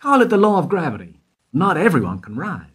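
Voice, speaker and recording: A man's voice: a little high, wildly swooping pitch, a little fast, slightly rough, exaggerated voice. An actor playing a persistent male investigator who needles a witness with questions in a radio play. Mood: probing. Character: probing male detective questioning a guard. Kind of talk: amateur radio play acting.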